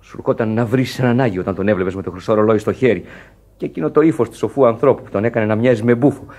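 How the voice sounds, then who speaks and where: An actor playing a rough, loyal sailor in a radio play, with a husky voice and blunt, earnest delivery. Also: male voice, lowish voice, a little mellow, lightly acted. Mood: bitter. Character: rough, loyal sailor, protective of his mate. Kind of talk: Greek radio play acting.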